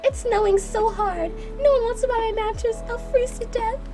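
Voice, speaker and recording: A woman's voice: extremely high pitch, moderate pace, voice pushed up. A woman voicing a manipulative young match peddler who begs melodramatically in a whiny, tearful voice, with music behind. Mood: tearful begging.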